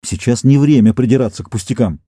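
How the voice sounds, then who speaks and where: A man's voice: mid-range voice, fast, mellow, no room sound, clean studio sound, lightly acted. A man voicing a plump, self-assured Earth rocket captain who talks eagerly and insistently.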